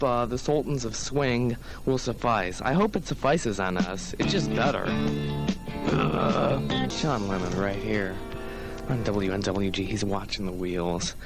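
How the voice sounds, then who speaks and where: A young American man hosting a 1980s college radio show, talking informally and chattily as a DJ. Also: fairly low pitch. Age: young adult. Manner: chatty, informal, often says "you know". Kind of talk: 1980s radio show patter, introducing songs. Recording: noisy 1980s radio air-check tape.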